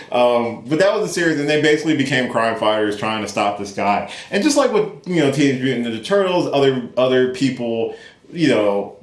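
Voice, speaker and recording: Male vlog host, mid-pitched and very animated, informal, emphatic, off-the-cuff delivery with some mild swearing. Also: echoey room. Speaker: host of a geek commentary channel. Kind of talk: casual unscripted rambling commentary.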